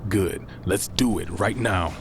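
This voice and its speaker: An actor playing a brash, impatient schemer in a podcast drama, blunt, slangy and sarcastic. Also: male voice, low-pitched, measured pace, background noise. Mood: scheming. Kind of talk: naturalistic fiction podcast acting.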